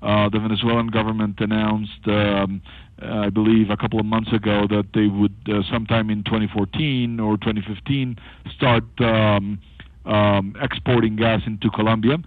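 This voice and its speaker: A man presenting as a company executive on a conference call, speaking haltingly with frequent long filled pauses over a telephone. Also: on the low side, flat delivery, background noise. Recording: thin, narrow-band telephone conference line.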